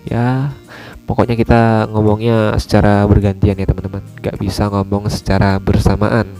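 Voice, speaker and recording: A man's voice: on the low side, flat delivery, moderate pace, dark tone, faint music behind. A man giving a casual, informal product review, explaining points and repeating them for emphasis. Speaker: motovlogger reviewing gear.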